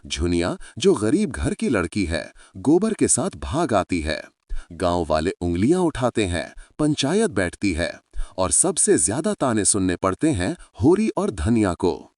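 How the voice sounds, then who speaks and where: A man's voice: lowish voice, huge pitch swings, unhurried, a little mellow, no room sound, clean studio sound. A man narrating a story in a dramatic scripted style, speaking to his listeners as friends.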